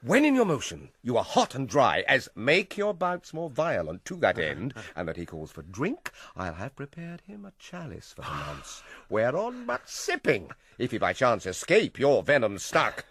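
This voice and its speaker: An actor playing a smooth, manipulative middle-aged king in a Shakespearean radio drama, with deliberate, theatrical delivery. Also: medium pitch, huge pitch swings, fairly bright tone, no room sound, clean studio sound, heavily acted. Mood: calculating.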